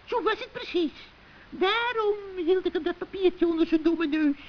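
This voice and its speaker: A performer voicing a gleeful, scheming old witch in a children's radio play, shrill and cackling, with taunting mock sweetness. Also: normal speed. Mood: gloating. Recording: dull, muffled old radio recording.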